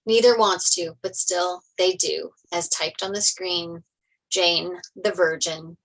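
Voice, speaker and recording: A woman's voice: lowish voice, steady tone, takes it slowly, rough recording. A woman reading stage directions as narrator in a table read of a TV script.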